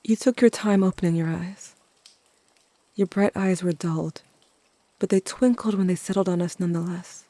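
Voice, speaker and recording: Performer as haunted young narrator, mellow tone, measured storytelling, audio drama, very dry recording. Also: medium pitch, steady tone, no echo, lightly acted. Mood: solemn, haunted. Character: young Cain, grieving, watchful older teenage narrator. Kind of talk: scripted first-person audio drama narration.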